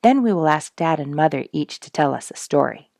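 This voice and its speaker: Eager, kind farm youngster for a storybook, played by a woman, creaky-edged voice, dry recording. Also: deep voice, animated, fairly quick, a little mellow, dry recording. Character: kind, busy farm boy and girl.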